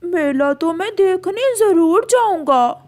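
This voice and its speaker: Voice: very high voice, lively intonation, takes it slowly, darkish tone, exaggerated voice. An actor voicing an eager, mischievous young boy crow in a cartoon, chirpy and childlike. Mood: excited. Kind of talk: cartoon dialogue.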